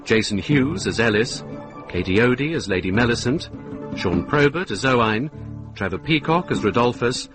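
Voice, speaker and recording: A man's voice: mid-range voice, expressive, normal speed. A man reading closing credits as a scripted radio announcement. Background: music.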